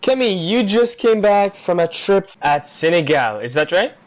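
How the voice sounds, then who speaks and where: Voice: mid-range voice, very animated, normal speed. A radio show host presenting from a script and asking interview questions.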